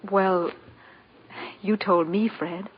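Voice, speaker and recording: A woman's voice: medium pitch, fairly even intonation, drawn-out words, a little hiss or hum. Tender mother, muffled vintage radio. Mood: soft. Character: sensible, tender young mother of a small girl. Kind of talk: 1940s radio drama acting. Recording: muffled 1940s radio recording with music.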